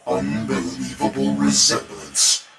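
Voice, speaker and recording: A man reading a horror story in a theatrical, ominous way, with deliberate emphasis. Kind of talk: dramatic bedtime horror story narration. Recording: roomy echo, distant, music behind. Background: music bed.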